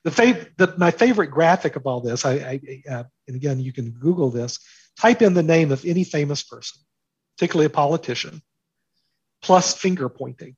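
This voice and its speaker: A man's voice: a little high, animated, husky, dry recording, muffled recording. A man speaking as an interview guest, explaining his ideas emphatically and repeating phrases for stress. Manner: emphatic, with deliberate repetition for stress. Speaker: interview guest, author and commentator.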